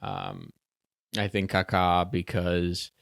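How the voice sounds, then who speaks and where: Deep, smooth-voiced young man, casual guest. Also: slow pace, no room sound, studio quality. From Chicago, United States. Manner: relaxed, many fillers and restarts, laughs easily. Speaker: podcast guest, soccer player. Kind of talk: casual interview chat.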